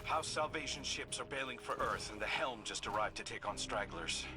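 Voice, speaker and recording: An actor playing a principled young warrior leader, briefing a soldier over comms in a calm, firm, businesslike way. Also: male voice, medium pitch, moderate pace, music in the background, close to an everyday voice. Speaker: mission commander on comms. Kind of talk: mission radio briefing. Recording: game audio with clear background noise.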